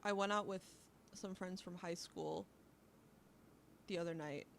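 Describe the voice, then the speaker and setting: A woman's voice: lowish voice, steady tone, a little slow, gravelly, slight background noise. A woman in her twenties chatting casually as a podcast co-host, talking freely with lots of fillers. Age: 20s. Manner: chatty, filler-heavy, self-questioning. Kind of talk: casual chat.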